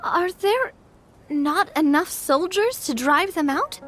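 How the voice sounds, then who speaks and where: A woman voicing a bubbly little girl companion who chimes in with cheeky, bright remarks. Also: very high-pitched, animated, slow pace, faint music behind, exaggerated voice.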